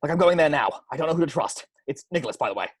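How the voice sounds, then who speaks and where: A man's voice: a little high, lively intonation, rapid-fire, husky. A man reading the part of an earnest, driven police sergeant in a table read over a video call. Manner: earnest, clipped, urgent.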